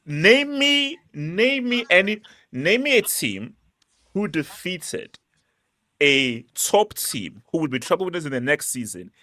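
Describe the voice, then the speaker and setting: A man's voice: sits high, very animated, unhurried, no room sound. Casual guy on a stream reading chat messages out, sometimes dropping almost to a mutter, dry close mic. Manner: reads viewer comments aloud, defensive, trails off quietly.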